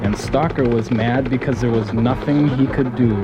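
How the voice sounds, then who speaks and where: A man's voice: medium pitch, steady tone, normal speed, highs cut off, natural voice. A man playing a folksy, gung-ho soldier who narrates his team's mission in a scripted audio drama. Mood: earnest storytelling. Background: music.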